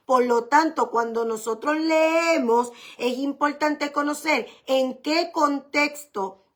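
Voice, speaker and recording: Woman, pastor, slightly high voice, didactic sermon, deliberate explanatory delivery, emphatic repetition. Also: a bit bright. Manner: deliberate, explanatory, repeats key points for emphasis.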